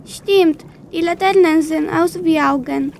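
A woman's voice: very high voice, measured pace, gravelly, slight background noise, exaggerated voice. A teenage girl playing a curious little fish in an amateur school radio play. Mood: curious, relieved.

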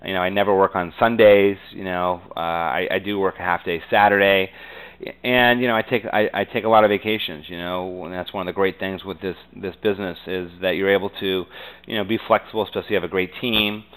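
An American man giving conversational interview answers as a business guest, earnest and halting, often saying "you know.". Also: sits low, fairly even intonation, a bit bright, clean tone, rough recording.

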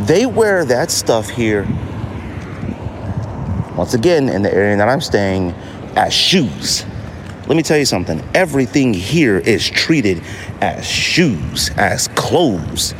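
An American man hosting a podcast in a casual solo monologue, repeating phrases for emphasis. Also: medium pitch. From United States. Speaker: podcast host. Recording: noisy outdoor city street ambience. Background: street noise, traffic, banging.

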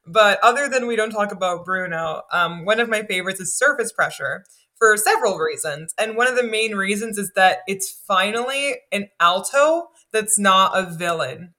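A woman with a lower voice chatting casually on a podcast, animated and full of "like" fillers. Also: normal speed.

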